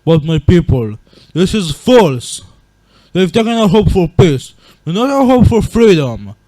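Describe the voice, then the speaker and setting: A man playing a grieving, regretful monster king and gentle father in a dramatic comic dub, speaking in a solemn, earnest way. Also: high-pitched, big pitch swings, measured pace, dry recording, low-quality recording. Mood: grave, mournful.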